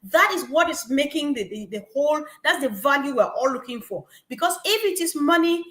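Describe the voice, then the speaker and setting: A woman from Africa, speaking at a gathering in an animated way, repeating herself and laughing between points. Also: sits high, normal speed. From West Africa. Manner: animated, repetitive, laughing asides. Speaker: guest speaker. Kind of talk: passionate testimony at a gathering. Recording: echoey room.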